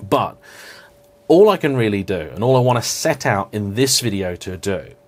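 A man's voice: on the low side, sing-song, moderate pace. A man talking to camera in an unscripted vlog, reflective and apologetic, with frequent restarts and hedging fillers. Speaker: car vlogger.

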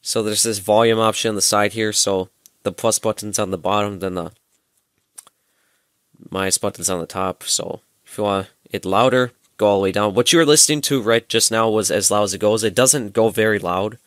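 A man's voice: fairly low pitch, moderate pace, a bit bright, clear, resonant tone, dry recording, clean studio sound. A young adult man, giving a casual hands-on demonstration and explaining informally, step by step. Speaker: presenter of a gadget tutorial. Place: small room at home, indoors. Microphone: headset microphone.

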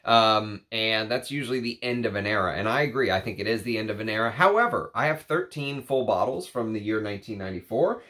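A man's voice: on the low side, animated, brisk, few pauses, sharp tone. A man in his thirties, congested from a cold and a little nasal, talking casually to camera in a rambling way with lots of fillers. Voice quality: slightly nasal. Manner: chatty, rambling, frequent fillers. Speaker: perfume reviewer. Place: home room with shelves of perfume bottles.

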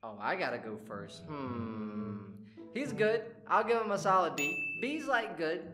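A woman's voice: sits low, lively intonation, measured pace. A woman hosting a reaction video with casual, playful, slangy commentary full of exclamations. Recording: echoey room, loud music behind.

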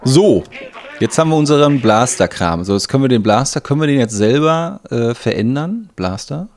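A man's voice: medium pitch, big pitch swings, normal speed, a little mellow. A man giving casual gameplay commentary, thinking aloud in an offhand, colloquial way. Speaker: let's play host.

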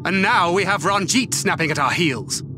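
An actor playing a stern, weary warrior and guardian in a video game, speaking in a measured, formal, terse way. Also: male voice, high voice, lively intonation. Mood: scolding. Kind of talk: dramatic video game voice acting. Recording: music behind the voice.

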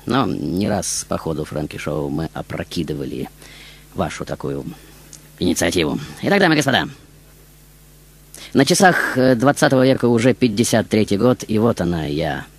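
A man's voice: deep voice, sing-song, normal speed, full character voice. A man playing a seductive, self-admiring film diva, telling her story on a radio show in a theatrical, ironic, grandiose manner.

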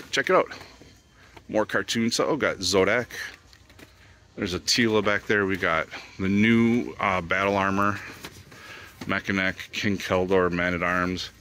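This is a man with a fairly low voice that still cuts through brightly. He chats casually while browsing toy shelves, rambling and naming items as he spots them, excited by each find. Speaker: toy collecting vlogger. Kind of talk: casual store walkthrough commentary. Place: retail store aisles.

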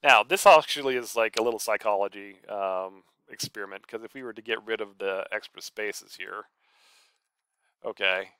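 A man's voice: lowish voice, moderate pace, pushed and bright, slight background noise. A man giving a casual screencast tutorial, talking informally through his steps aloud. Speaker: college professor and author giving a software tutorial.

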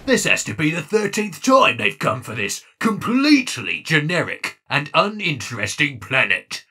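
Grumpy bright-voiced robot fighter played by a man. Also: on the high side, big pitch swings, normal speed, a little hiss or hum. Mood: irritated. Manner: irritated, exasperated. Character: grumpy, trigger-happy male alien robot fighter. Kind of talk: animated comic dub acting.

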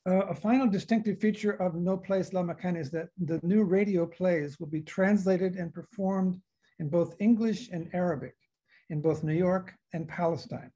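A man in his sixties hosts an event over a video call from his office. His voice is high, soft-edged and husky. He reads formal opening remarks and often halts to find the next word. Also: normal speed. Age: 60s. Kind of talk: formal introductory remarks read from notes. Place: office with bookshelves, indoors. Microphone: video call computer microphone.

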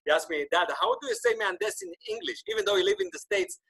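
A man in his thirties whose first language is Hebrew, hosting a podcast over a video call, talking with animated gestures and frequent restarts. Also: very high-pitched, fast, vocal fry. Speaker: podcast host. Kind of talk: casual interview chat. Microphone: video call webcam.

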